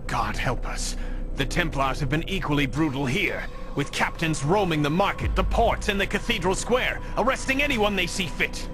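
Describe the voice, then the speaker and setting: An actor playing an earnest, weary resistance leader in a video game, grave and urgent in manner. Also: male voice, a little high, lively intonation, moderate pace, lightly acted. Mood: alarmed, grim. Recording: prominent music under the voice. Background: music.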